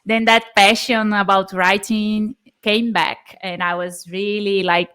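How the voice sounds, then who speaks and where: A woman's voice: medium pitch, little pitch movement, slow pace, fairly bright tone, smooth. A woman in her thirties from Brazil with a Brazilian Portuguese accent, a native Portuguese speaker chatting as an interview guest, hesitant with many fillers and frequent laughs. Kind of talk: casual interview chat.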